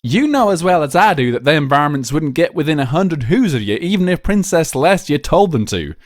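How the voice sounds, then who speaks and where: A man's voice: on the high side, sing-song, fairly quick, no echo, clean studio sound, pitched above the performer's own voice. A man as a blunt, folksy farm mare. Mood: skeptical.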